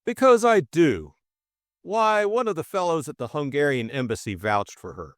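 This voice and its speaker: Man acting an earnest, grumbling young English gentleman. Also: on the high side, animated, moderate pace, no echo, clean studio sound, voice pushed up, natural voice. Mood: hot, grumbling. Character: Bill, young upper-class Englishman, hot-headed loyal conspirator. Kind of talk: audiobook character dialogue.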